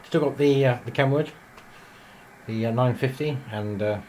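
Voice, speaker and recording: A man giving a casual, ad-libbed video tour in a hesitant, unhurried, rambling way, recorded on a handheld camera in a small room. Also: mid-range voice, darkish tone. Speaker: amateur radio operator filming shack tour. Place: small indoor radio room. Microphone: handheld camera mic. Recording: noisy handheld camera sound.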